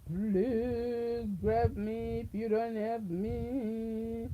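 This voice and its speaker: A woman with a deep voice hosting a livestream, making slow, repetitive, coaxing appeals to her viewers. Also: little pitch movement, narrow, tinny audio, slight background noise. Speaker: livestream host. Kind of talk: casual livestream chat to viewers.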